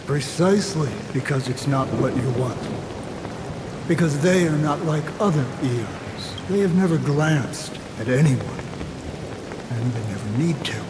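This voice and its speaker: A man's voice: mid-range voice, lively intonation, background noise, close to an everyday voice. Man as a solemn, echoing cryptic sage. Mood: solemn, foreboding. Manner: grave, deliberate, poetic. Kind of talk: video game cutscene drama. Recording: echoing hall-like reverb with music.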